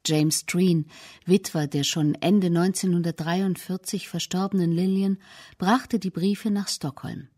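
Dark, husky woman narrating a documentary. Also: fairly low pitch, fairly even intonation, a little slow.

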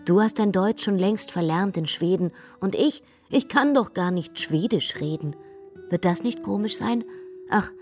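This is a woman giving voice to a loving mother writing to her faraway son. She reads her letters in a gentle, earnest way, at a moderate pitch. Soft music sits faintly underneath. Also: measured pace, natural voice. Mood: tender. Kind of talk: reading letters aloud.

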